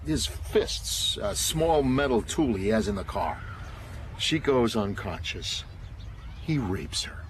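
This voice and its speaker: Deep, blunt retired detective. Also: male voice, big pitch swings, slow, a little hiss or hum. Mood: grim, matter-of-fact. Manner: blunt, terse, matter-of-fact. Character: hard-nosed, retired male homicide detective. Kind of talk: radio drama dialogue.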